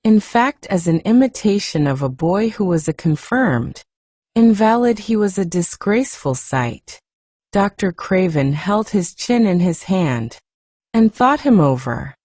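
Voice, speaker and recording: Slow, mellow, expressive woman storyteller. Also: mid-range voice, no room sound, clean studio sound. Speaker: audiobook narrator. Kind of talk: soft storybook narration.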